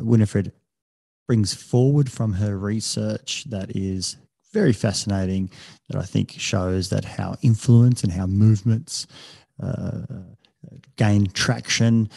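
A man's voice: fairly low pitch, vocal fry, warm tone, husky, no room sound, studio quality. A man hosting a psychology podcast, talking casually with lots of fillers and restarts. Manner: hesitant, many restarts and fillers. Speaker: podcast host. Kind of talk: casual interview.

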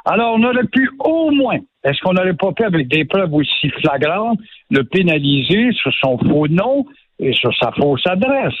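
Sarcastic quebec guy ranting on the radio, thin phone-line sound, fairly high voice. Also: sing-song, normal speed, a little hiss or hum. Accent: Quebec French. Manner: sarcastic, indignant. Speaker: radio commentator. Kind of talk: opinionated radio chat.

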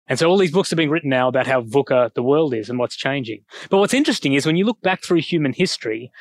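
A man's voice: fairly high pitch, no echo. A middle-aged Australian man giving a public lecture on a headset mic, with easy, self-deprecating humor. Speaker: guest speaker. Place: school hall.

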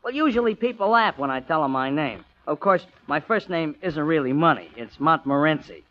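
A man's voice: fairly high pitch, lively intonation, fairly quick, close to an everyday voice. A man playing a good-natured, blunt, earnest ex-army driver in a 1940s radio comedy drama. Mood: earnest, friendly. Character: good-natured, plain-spoken male driver, ex-army military policeman. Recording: muffled, dry vintage 1940s radio recording.

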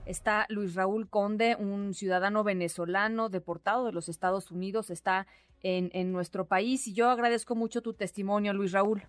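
A woman hosts a radio news interview, asking short, direct questions and nudging her guest along. Her voice sits at an ordinary pitch for a woman, recorded close and dry in the studio. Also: fairly even intonation, moderate pace, dry recording. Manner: brief prompting questions, frequent short backchannel sounds.

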